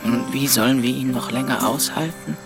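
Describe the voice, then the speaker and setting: A sullen, protective boy of thirteen played by a male actor in a radio drama. He speaks tersely and warily in a mid-pitched voice. Loud music runs behind him. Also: steady tone, normal speed, vocal fry, close to an everyday voice. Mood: wary. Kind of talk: German radio play acting.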